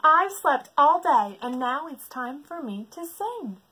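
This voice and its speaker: Woman doing a chirpy, high baby bird. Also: very animated, takes it slowly, pushed and bright, slight background noise, heavily acted, poor audio. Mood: insistent. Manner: sing-song repeated chirping. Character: cheerful tiny baby bird, wide awake, chirping noisily. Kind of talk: children's storybook read-aloud.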